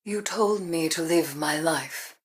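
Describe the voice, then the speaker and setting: An actress playing a solemn, haunted witch in a game, grave, deliberate and cryptic as she counsels. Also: sits low, distant mic. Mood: reflective. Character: solemn, haunted witch seeking peace and guiding others. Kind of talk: dramatic game voice acting.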